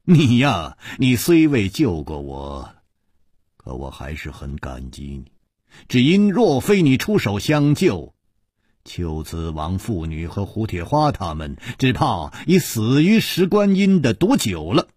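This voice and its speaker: A man acts out a calm, shrewd martial hero in a wuxia audiobook. He reasons slowly and pointedly, with faint amusement, in a husky voice that swings widely in pitch. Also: dry recording, studio quality, exaggerated voice. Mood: composed, probing.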